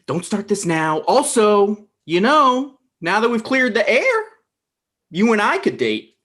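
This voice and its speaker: A man's voice: high voice, wildly swooping pitch, measured pace, a bit bright, heavily acted. A man in his thirties playing a needy, wounded, blustering dumped boyfriend in a table read over a video call. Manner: pleading, blustering. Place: indoor room on a video call.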